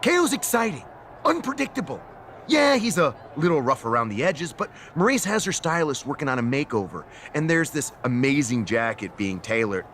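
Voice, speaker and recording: An actor playing a scheming, smug general manager in a wrestling video game, theatrical and sarcastic. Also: male voice, on the high side, sing-song, moderate pace, fairly bright tone, slight background noise. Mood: smug, defensive. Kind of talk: video game cutscene acting.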